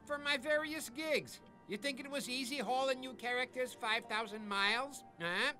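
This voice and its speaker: A man's voice: very high voice, normal speed, full character voice. A man playing a grumpy, jokey old magical creature in a cartoon, talking in wisecracking slang over music. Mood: cocky, wisecracking. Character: grumpy, jokey male magical shape-shifting creature, getting on in years. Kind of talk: animated comic sidekick acting. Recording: loud soundtrack music.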